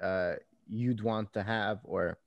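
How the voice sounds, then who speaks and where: A man hosts a casual interview and asks long questions that wander before landing. His voice sits at an ordinary pitch. The sound is dry and close, with no room echo. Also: fairly even intonation, slow pace, muffled recording. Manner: rambling, searching questions.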